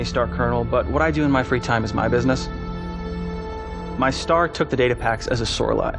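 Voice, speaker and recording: An actor playing a young, stubbornly confident military mech pilot in a dramatic game cutscene, speaking earnestly and formally. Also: male voice, medium pitch, gravelly, close to an everyday voice.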